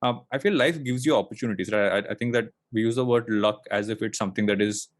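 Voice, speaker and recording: A man's voice: on the low side, quick pace, dry recording, studio quality. A man in his late thirties from India, a podcast guest talking in a reflective, rambling way with lots of fillers and restarts. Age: late 30s. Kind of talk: casual interview chat.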